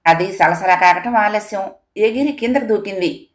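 A woman's voice: mid-range voice, moderate pace. A woman, narrating a scripted children's moral story as a storyteller.

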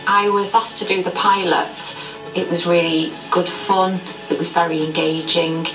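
A woman's voice: lowish voice, little pitch movement, a little slow. A woman teacher speaking in a plain, modest way about learning a language. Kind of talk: interview in a school promo film. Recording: roomy, far off, with music.

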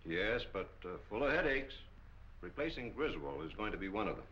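Affable older executive, lively intonation. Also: male voice, lowish voice, brisk. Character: affable, businesslike older male radio executive. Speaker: radio station manager. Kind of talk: 1940s film acting. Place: furnished office film set. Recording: muffled, echoey, hissy old film soundtrack.

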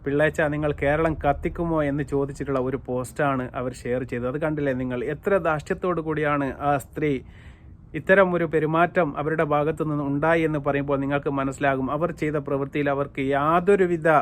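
A Malayali man with a Kerala accent delivers opinionated online commentary in a fairly high voice. He is emphatic and repeats his points, speaking directly to his viewers. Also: steady tone, quick pace, slight background noise. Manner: emphatic, repetitive, addressing listeners directly. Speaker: YouTube commentator.

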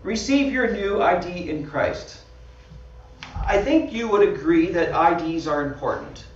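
A man's voice: high-pitched, animated, a little slow. A man with a Canadian accent, a pastor giving a conversational sermon with slides, laughing at himself often. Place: small church hall. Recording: dull, roomy sound from far off.

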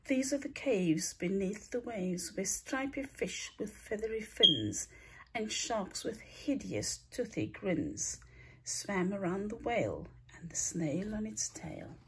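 A woman reading a rhyming children's picture book aloud in a theatrical, deliberate way, stretching words and hushing the ends of lines. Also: mid-range voice, sing-song, background noise. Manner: theatrical, deliberate storytelling with drawn-out words and breathy hushed line endings. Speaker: storybook reader, teacher.

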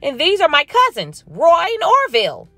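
A woman doing squawky high crows. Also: wildly swooping pitch, normal speed, bright, forceful tone, no room sound, soft background music, exaggerated voice. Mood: chirpy.

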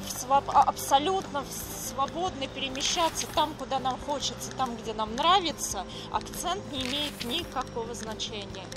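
A woman's voice: high-pitched, lively intonation, moderate pace, hardly any pauses, loud music behind the voice. A young woman chatting informatively and casually while walking outdoors along city streets. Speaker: language school representative. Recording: noisy outdoor street sound. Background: street ambience.

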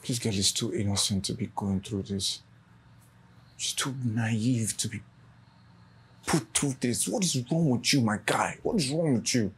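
An actor playing a guilt-ridden boss who scolds himself and prays for forgiveness. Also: male voice, medium pitch, unhurried, slight background noise, close to an everyday voice. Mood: self-reproach. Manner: anguished self-reproach, pleading prayer.

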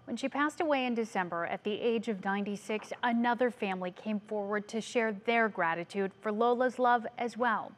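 A woman news anchor reading a story introduction clearly and composedly in a television studio. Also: fairly high pitch, fairly quick, few pauses.

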